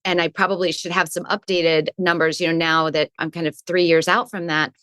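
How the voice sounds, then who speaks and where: Woman coach on a podcast, lower voice, thinks out loud, dry close sound. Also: level tone, fairly quick, no room sound. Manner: thoughtful, restarts phrases while explaining. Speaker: resilience coach, guest. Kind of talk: conversational interview answers.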